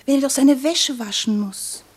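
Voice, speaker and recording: A high-voiced, gentle young daughter. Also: female voice, animated, normal speed, slightly rough. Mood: gentle pleading. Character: young daughter of a caretaker, gentle but forward. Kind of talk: German radio play acting.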